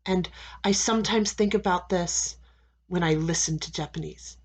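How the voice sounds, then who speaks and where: An American woman teaching English, giving students slow, deliberate advice and repeating key phrases with frequent "okay?" checks. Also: on the low side, husky. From United States. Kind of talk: classroom advice talk to students.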